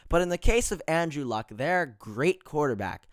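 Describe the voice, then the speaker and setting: A man hosting a casual sports podcast, his voice raspy and hoarse, full of fillers and getting louder as he rants. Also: fairly high pitch, animated, moderate pace, no room sound, clean studio sound. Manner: filler-heavy, gets heated and louder when ranting. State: hoarse, tired voice.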